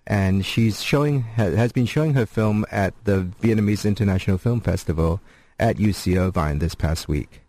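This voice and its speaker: A man hosting a college radio interview, talking haltingly with many fillers and restarts. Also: lowish voice, mellow, dry recording. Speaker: radio host.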